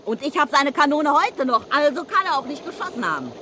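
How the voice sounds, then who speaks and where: An actress playing a cocky, slangy widow of a crook who blackmails a murderer, in a vintage radio play. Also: female voice, high-pitched, big pitch swings, fairly quick, sharp tone, slight background noise, heavily acted. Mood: triumphant.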